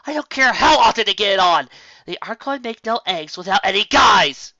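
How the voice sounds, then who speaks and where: A woman voicing a brash female sea creature who talks loud and mocking. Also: medium pitch, lively intonation, a little fast, dry recording, highs cut off, voice pushed up.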